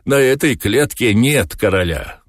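Guy doing a wheedling indignant talking cat for an audiobook, pitched way up, husky, fussy and fake-worried. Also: very animated, normal speed, no echo, clean studio sound. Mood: pleading, indignant. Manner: fussy, falsely anxious, stammering pleas. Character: theatrical talking tomcat, wheedling, indignant chess cheat. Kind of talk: audiobook character voice.

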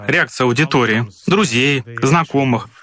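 A man hosting a conversational studio interview, putting measured, probing questions to his guest. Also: medium pitch, background noise. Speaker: interview host.

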